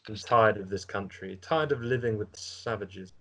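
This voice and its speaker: A man's voice: on the low side, normal speed, a bit bright. A man playing a bitter, impatient exiled would-be king in an amateur script table read. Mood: bitter impatience.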